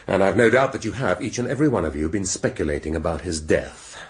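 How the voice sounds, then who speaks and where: English detective inspector, middle-aged, lowish voice, very animated intonation, deliberate, rhetorical, radio drama. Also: male voice. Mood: commanding. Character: shrewd, theatrical male police inspector laying out a murder case.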